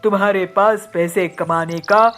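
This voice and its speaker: A woman's voice: medium pitch, fairly even intonation, a little slow, fairly bright tone, close to an everyday voice. A woman voicing a rich, demanding male merchant in a children's cartoon, insistent and commanding. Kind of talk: Hindi children's moral cartoon acting.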